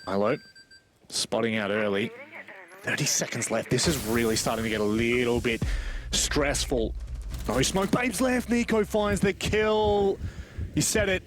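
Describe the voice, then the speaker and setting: A man's voice: medium pitch, lively intonation, unhurried, faint music behind. A man giving live play-by-play commentary on an esports match. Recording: noisy, game gunfire behind voice.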